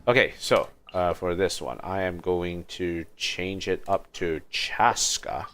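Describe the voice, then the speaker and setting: Deep-voiced man streaming a game, unhurried. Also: a bit bright, slight background noise. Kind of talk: casual livestream gameplay commentary. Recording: game music behind the voice. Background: video game music.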